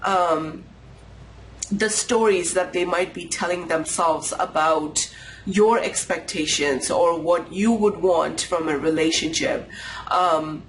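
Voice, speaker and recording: Tarot host with a cold: nasal, slightly raspy, unhurried and intuitive, mid-range pitch. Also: a little hiss or hum, low-quality recording. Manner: unhurried, intuitive musing with pauses. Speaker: tarot reader. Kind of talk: pick-a-card tarot reading to camera.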